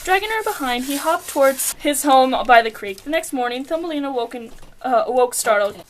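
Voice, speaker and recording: Young woman reading a fairy tale script, pretty high voice, trips on words sometimes. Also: expressive, brisk, low-quality recording. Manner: stumbles over words and giggles. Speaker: puppet show narrator. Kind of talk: scripted storybook narration.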